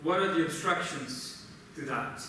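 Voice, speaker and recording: A man with a Brazilian accent, a native Portuguese speaker, gives a technical conference lecture in a halting, explanatory way. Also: fairly high pitch, lively intonation, sharp tone. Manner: halting, explanatory, often checks with "right?". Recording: roomy, noisy conference hall, distant mic.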